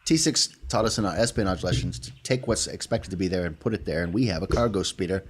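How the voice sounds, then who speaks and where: Low-pitched man playing a tabletop game. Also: lively intonation, fast, slightly rough. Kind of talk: casual game-table chat.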